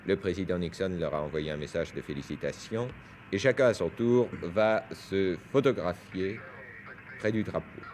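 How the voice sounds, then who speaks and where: A man's voice: deep voice, normal speed, a little mellow. A man giving explanatory, didactic science commentary over footage on a 1970s television news broadcast. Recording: noisy 1971 French TV newsreel.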